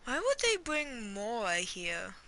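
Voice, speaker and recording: A woman's voice: fairly high pitch, wildly swooping pitch, slow, background noise. A woman giving casual game playthrough commentary, rambling, repeating herself and reacting aloud. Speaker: gaming streamer. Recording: game music faintly behind.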